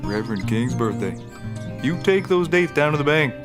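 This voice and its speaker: An actor playing an earnest, simple and proud backwoods detective with a folksy manner, in a scripted comedy. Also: male voice, medium pitch, expressive, over music, lightly acted. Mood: earnest, insistent. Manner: folksy, often opens with a drawn-out "ooh".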